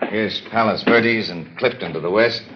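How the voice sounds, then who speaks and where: A man's voice: fairly low pitch, normal speed, natural voice. An American man playing a plainspoken, matter-of-fact homicide lieutenant in a 1950s radio drama. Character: plainspoken, businesslike male homicide police lieutenant. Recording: dull vintage radio recording, faint noise.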